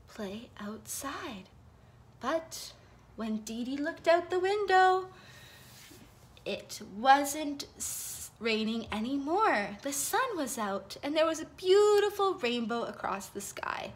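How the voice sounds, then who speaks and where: A young woman telling a felt board story for children at home, expressive and sing-song, with gasps and whispers, recorded on a phone held over the board. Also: on the high side. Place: home living room, indoors. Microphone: phone camera held over the felt board.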